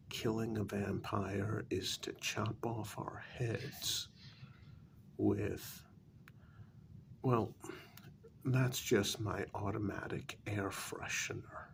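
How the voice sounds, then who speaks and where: Man playing a slow, gravelly, soft-spoken vampire. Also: fairly low pitch, slight background noise, full character voice. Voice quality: breathy, gravelly near-whisper. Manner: slow, deliberate, formal, condescending. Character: haughty, dryly amused male vampire, predatory yet civil. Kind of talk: soft-spoken ASMR role-play.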